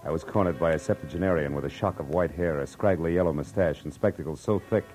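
A man playing a wry, hard-boiled private detective in a 1940s radio drama, narrating in a sardonic deadpan. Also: deep voice, fast, soft background music, lightly acted. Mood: wry narration. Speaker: lead and narrator. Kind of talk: 1940s radio acting, first-person narration. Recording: vintage 1940s radio recording.

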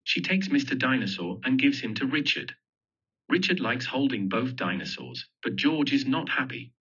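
A man's voice: medium pitch, big pitch swings, moderate pace, fairly bright tone, husky. A man reading a children's picture book aloud, telling the story simply and expressively. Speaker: storybook reader. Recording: dull lo-fi audio.

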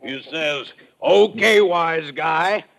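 An actor playing a boisterous, naive American tourist in an old-time radio drama, effusive and folksy, often repeating himself. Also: male voice, on the high side, very slow, gravelly, fairly bright tone, heavily acted. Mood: tipsy joking.

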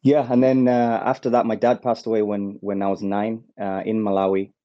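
A man from Zambia, a guest in a casual interview on a call, talking haltingly with many fillers and restarts. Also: lowish voice, little pitch movement, dry recording. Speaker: guest, former footballer. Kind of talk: casual interview over phone. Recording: dull lo-fi phone-call audio.

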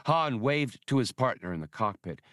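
A man's voice: mid-range voice, lively intonation, moderate pace, a bit bright, dry recording, studio quality. A man reading scripted narration from a science fiction adventure novel as an audiobook narrator.

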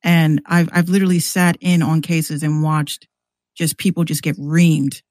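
An American woman chatting casually and candidly in a slangy, animated way, acting out exchanges as she tells stories. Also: deep voice, unhurried, no room sound, studio quality. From New York City, US. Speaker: podcast guest, rapper and radio show host. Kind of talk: casual conversational storytelling.

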